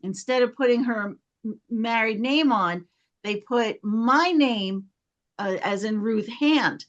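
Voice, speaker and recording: A woman's voice: a little high, slow, muffled recording. A woman telling a casual anecdote in a chatty way, acting out bits of dialogue as she goes. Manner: chatty, reenacts dialogue when retelling.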